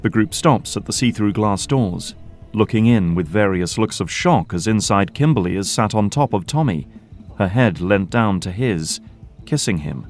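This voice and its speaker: Guy narrating an audio drama, lowish voice, bit of music behind him. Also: lively intonation, normal speed, darkish tone. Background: background music.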